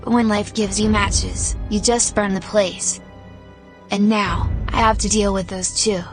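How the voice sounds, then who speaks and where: A woman's voice: medium pitch, fairly even intonation, unhurried, lightly acted. A woman playing a cocky, sardonic fire mage gladiator, narrating her own story with dry wit. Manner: wry, self-assured, dry-humoured. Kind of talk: first-person scripted story narration. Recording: loud music behind the narration.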